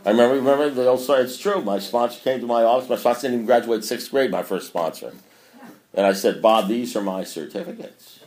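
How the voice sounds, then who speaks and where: A man's voice: medium pitch, fast, a little mellow. A man in his sixties speaking at a recovery meeting, preachy and rambling, often mocking himself. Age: 60s. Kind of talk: meeting talk. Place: meeting room. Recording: echoey room.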